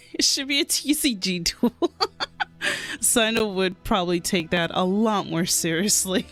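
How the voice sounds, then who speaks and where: A woman's voice: medium pitch, a little slow, a bit bright. A woman streamer giving casual live reactions to a game, musing aloud in short interjections. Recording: faint game music behind voice. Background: game dialogue and music.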